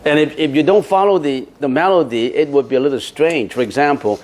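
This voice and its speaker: Chatty monk with frequent restarts. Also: male voice, a little high, brisk, darkish tone, rough recording. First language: Chinese. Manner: chatty, repeats words and restarts, laughs often. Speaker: Buddhist monk answering questions. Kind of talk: Dharma question-and-answer talk.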